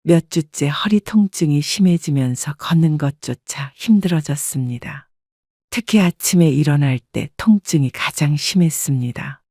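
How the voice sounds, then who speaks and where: A woman narrating a first-person life story in a calm, measured, reflective way. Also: low voice, dry recording, clean studio sound.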